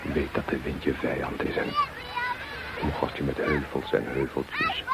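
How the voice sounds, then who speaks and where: An actor playing a brooding desert soldier in a radio drama, speaking in halting, incantatory phrases. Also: male voice, very deep voice, wildly swooping pitch, a loud crowd, exaggerated voice. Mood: wistful. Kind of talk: poetic radio drama monologue. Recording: very noisy radio recording, background hubbub.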